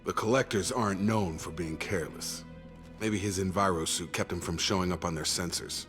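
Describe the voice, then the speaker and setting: A man playing a steady, pragmatic soldier squadmate in a video game, with a matter-of-fact manner. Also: sits low, moderate pace, faint music behind. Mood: explaining.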